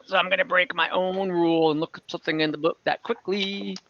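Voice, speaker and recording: A man's voice: sits high, fairly even intonation, fairly quick, fairly bright tone, dry recording, highs cut off. A man acting as game master of an online tabletop game, explaining rules with many hesitations and fillers. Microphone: computer mic on a video call.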